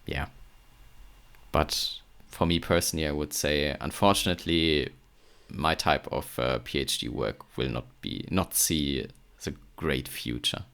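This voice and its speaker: A deep-voiced man with a German accent talks through his research as a podcast guest. His voice is low and gravelly. He hesitates often, filling gaps with um and uh and restarting his sentences. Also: fairly even intonation, measured pace, fairly bright tone. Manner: hesitant, many fillers and restarts. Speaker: podcast guest, chemistry PhD. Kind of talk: casual interview chat.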